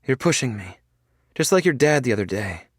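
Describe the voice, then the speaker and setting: A man's voice: mid-range voice, very animated, a little fast, no room sound, clean studio sound, pitched above the performer's own voice. A man voicing a frail, sick young woman who is tearful and pleading.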